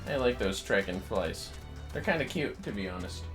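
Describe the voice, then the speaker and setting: A man with a fairly low voice chats through a gaming livestream. He rambles in an easygoing way, partly to himself and partly to viewers, with game music clearly playing behind him. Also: lively intonation, moderate pace. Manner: rambling, easygoing, half talking to self. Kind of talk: casual gaming livestream chat, muttering to self and viewers. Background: video game music.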